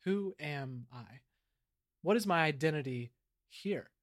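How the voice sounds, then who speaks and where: A man's voice: on the high side, lively intonation. A man playing an earnest, grandiose small-town island mayor in an improvised audio comedy, speaking with mock-solemn sincerity. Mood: solemn, grateful. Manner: halting, rambling, sincere, drawn-out words.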